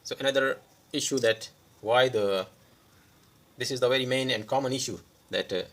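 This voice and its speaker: A man who is a non-native English speaker gives an unscripted hands-on repair demonstration, pausing mid-sentence in short phrases. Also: medium pitch, fairly bright tone. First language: not English. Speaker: electronics repair tutorial host.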